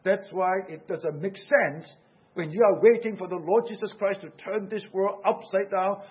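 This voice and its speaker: Husky high-voiced Singaporean preacher. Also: male voice, moderate pace, highs cut off. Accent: Singaporean English. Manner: earnest, urgent preaching with rhetorical questions. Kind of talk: sermon.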